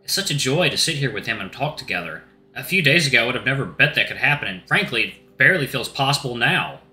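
Man with a sick, lowered voice. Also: sing-song, fast talker, pushed and bright. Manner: casual, says y'all, restarts lines. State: sick, voice deeper than usual. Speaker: Let's Play host. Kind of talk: reading a visual novel aloud. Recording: roomy with faint music.

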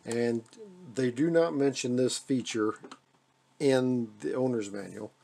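An American man giving a casual, hands-on demonstration as a radio hobbyist, speaking off the cuff in a halting way with repeated phrases. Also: medium pitch, creaky, mellow. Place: indoors at a radio desk.

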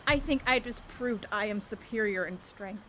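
A woman's voice: mid-range voice, normal speed, close to an everyday voice. A woman playing a determined young woman seeking the truth in ancient Greece, speaking boldly and earnestly in an audio drama. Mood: passionate, challenging. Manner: bold, earnest, declamatory. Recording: faint background noise.

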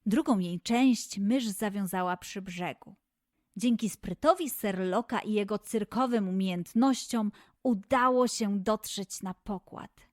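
A woman narrating a scripted fairy tale for children in an expressive, deliberate manner. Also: mid-range voice, dry recording, clean studio sound. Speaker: children's storyteller.

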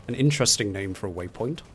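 Low-voiced man chatting over a game. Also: a bit bright, a little hiss or hum. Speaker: co-op gaming commentator. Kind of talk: casual chat during gameplay.

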